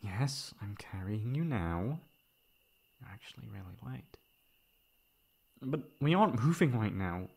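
A young man playing a caring, mildly exasperated boyfriend in an ASMR roleplay, coaxing and teasing with breathy sighs. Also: lowish voice, full character voice.

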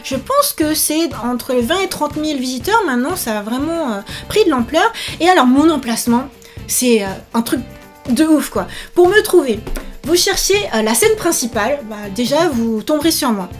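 High-voiced, enthusiastic woman vlogger. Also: moderate pace, soft background music. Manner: animated, exclamatory, enthusiastic. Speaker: author and YouTuber promoting her book. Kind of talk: casual chat to camera. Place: small indoor room set up for filming.

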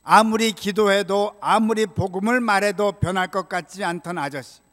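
An older man preaching a prepared sermon as a pastor, in a measured, solemn way, from a church pulpit microphone. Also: high-pitched, fairly bright tone. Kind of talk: sermon, read from prepared text. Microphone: gooseneck pulpit microphone. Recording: reverberant church hall sound.

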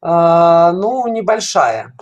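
A middle-aged woman answering interview questions casually over a video call, talkative but halting as she searches for words. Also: on the low side, big pitch swings, darkish tone. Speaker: guest caller, children's animation studio representative. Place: indoors at home, video call. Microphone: headset.

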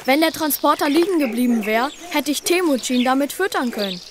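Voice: high voice, expressive, brisk. A performer playing a keen, pony-loving young boy in a children's audio drama. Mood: eager. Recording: horses and outdoor noise behind.

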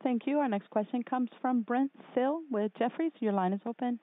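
A woman's voice: fairly high pitch, brisk. A woman working as a conference call operator, making formal scripted announcements. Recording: thin dry phone line.

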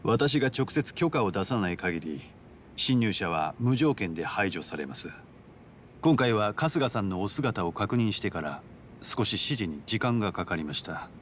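A composed, calculating yakuza underboss in a game drama. His lowish voice is courteous and business-like, over a faint low rumble. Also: very animated, fairly bright tone. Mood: coolly polite. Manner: formal, courteous, measured business-like speech. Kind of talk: dramatic video game voice acting.

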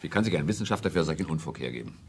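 An actor playing a confident, dismissive prime minister in a German radio drama, scornful and self-assured. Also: male voice, very deep voice, lively intonation, very fast, a little mellow, a little hiss or hum.

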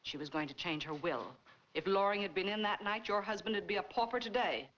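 A woman's voice: mid-range voice, fairly quick, fairly bright tone, lightly acted. An American actress playing a stern, bitterly accusing middle-aged woman, severe and pointed, in a 1940s noir film. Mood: cold, accusing. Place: large living room film set. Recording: muffled, noisy 1940s film soundtrack.

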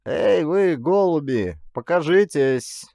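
A grumpy petty official acted out by a male reader grumbles and orders people about. He is pleased with himself, and his delivery swings widely in pitch, as in a dramatized audiobook. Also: lively intonation, slow pace, vocal fry, warm tone, dry recording, studio quality, heavily acted. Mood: commanding. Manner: grumbling and commanding. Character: grumpy, bossy, self-satisfied village clerk.

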